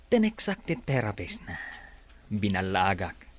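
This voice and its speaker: A man's voice: fairly low pitch, huge pitch swings, slow, heavily acted. A man playing a sly, gloating villain who schemes against his relative in a radio drama. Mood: gloating, scheming. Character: scheming, gleeful villain plotting against his wealthy cousin. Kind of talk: Ilocano radio drama acting.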